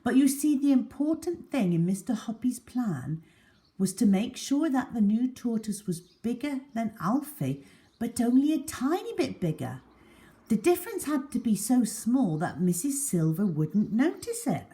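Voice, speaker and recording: Slow, very expressive woman storyteller. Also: on the high side. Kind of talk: expressive children's story reading.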